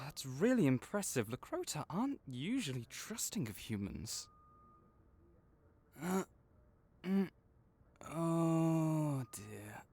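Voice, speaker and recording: A man playing a gentle, caring vet for magical creatures in an audio roleplay, speaking in a measured, reassuring, explanatory way. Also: fairly high pitch, exaggerated voice. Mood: concerned, gentle. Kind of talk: soft-spoken one-sided comfort roleplay.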